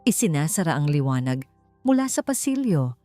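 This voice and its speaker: A woman with a Filipino accent narrating a dramatic story in a suspenseful, deliberate way with pauses. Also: low-pitched, big pitch swings, warm tone, no room sound. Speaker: audiobook narrator. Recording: music bed under narration. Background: background music.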